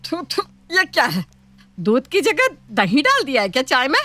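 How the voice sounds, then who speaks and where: A woman's voice: high-pitched, huge pitch swings, moderate pace, pushed and bright, heavily acted. A woman voicing a gluttonous, bossy mother-in-law in a cartoon story, dramatic, whiny and wheedling. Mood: disgusted, shocked. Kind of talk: animated Hindi moral-story cartoon.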